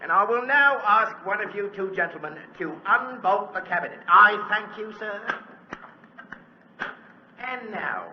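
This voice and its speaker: An actor playing a showy, courteous stage magician, announcing formally and deliberately in 1940s radio style. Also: male voice, sits high, animated. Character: showy stage magician addressing his audience, courteous and theatrical. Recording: dull, hissy, echoey old radio recording.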